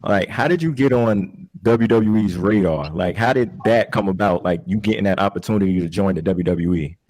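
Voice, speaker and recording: Very deep-voiced man asking questions. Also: brisk, darkish tone. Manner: easygoing, questioning. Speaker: podcast co-host. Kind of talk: casual interview questions.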